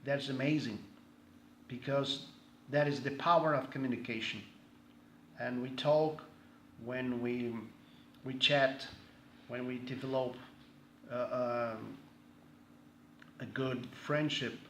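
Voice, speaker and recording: Slow halting Brazilian man, echoey room. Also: mid-range voice, steady tone, a bit bright. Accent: Brazilian Portuguese. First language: Portuguese. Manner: halting, searching for words, frequent restarts. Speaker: group member introducing himself. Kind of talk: casual self-introduction. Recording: echoey room, faint ambient noise.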